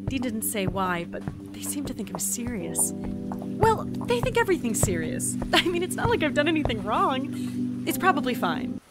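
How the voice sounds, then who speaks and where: Sci-fi audio drama lead played by a woman: anxious, flippant agent logging missions, very animated delivery, music prominently underneath. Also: high-pitched, moderate pace. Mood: breezy, uneasy. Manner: chatty, self-deprecating, hesitant. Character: eager, flippant, anxious interdimensional agent reporting mission logs. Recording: musical backing.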